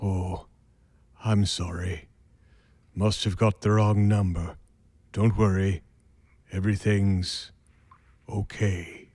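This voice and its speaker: An actor playing a cold, calm killer in a radio thriller, soft-spoken and unhurried. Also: male voice, deep voice, no echo. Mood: calm, menacing. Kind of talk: radio drama acting.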